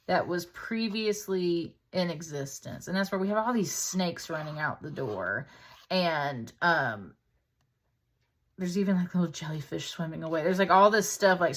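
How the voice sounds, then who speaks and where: A woman giving a casual, conversational tarot reading in an informal, chatty way, as if thinking aloud. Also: fairly low pitch. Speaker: tarot reader, host.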